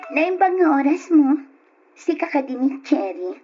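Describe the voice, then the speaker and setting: A dull, lo-fi radio drama recording with music behind. a pushy, seductive Ilocano woman with a high voice, taking her time as she coaxes. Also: very animated, a little slow, dark tone. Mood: demanding. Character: flirtatious, pushy mistress of a married seaman.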